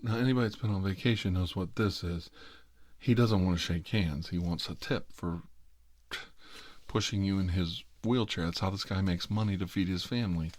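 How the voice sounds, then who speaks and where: A middle-aged man telling a rambling, comic family anecdote in a casual, wry, exasperated manner with drawn-out emphasis. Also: low-pitched, darkish tone. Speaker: host telling a family story.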